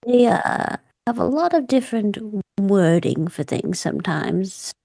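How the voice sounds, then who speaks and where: A woman plays a worldly character in a tabletop game, with a mid-pitched voice full of creak. She muses wryly and talks around things, and the sound is close and dry. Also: sing-song, unhurried, warm tone, no echo, heavily acted. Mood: wry, musing.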